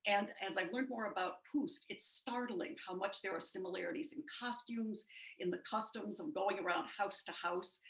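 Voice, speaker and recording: Bright-voiced woman on a roomy video call. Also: medium pitch, normal speed. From United States. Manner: chatty, frequent "uh" fillers. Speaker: musician guest. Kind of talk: casual spoken introduction.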